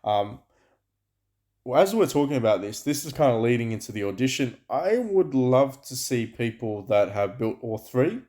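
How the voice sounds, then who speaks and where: Male gaming host, loud voice, rambling casual chat. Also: mid-range voice, lively intonation, moderate pace. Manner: loud, chatty, many fillers and restarts. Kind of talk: casual gameplay commentary. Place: home room, night, dogs and frogs outside.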